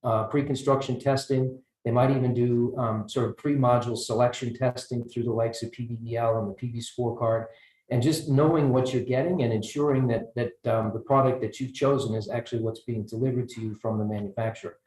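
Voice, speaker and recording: American guy on a panel, thinks out loud, restarts a lot, echoey room. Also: medium pitch, fairly even intonation, a little fast. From United States. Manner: hesitant, repeats words while thinking. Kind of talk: panel discussion. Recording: roomy echo.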